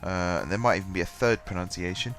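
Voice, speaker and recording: A man's voice: sits low, fairly quick, fairly bright tone. A man with a cold and a stuffy, bunged-up voice, rambling through casual commentary on his game with lots of ums and restarts. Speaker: gaming channel host. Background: faint background music.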